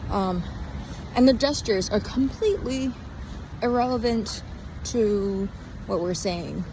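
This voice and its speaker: A tired young woman podcaster talking casually in a halting, rambling diary style, often trailing off mid-thought. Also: mid-range voice. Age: young adult. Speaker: podcast host. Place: outdoors on a walk in a town. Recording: outdoor street noise with passing cars. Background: traffic and urban ambience.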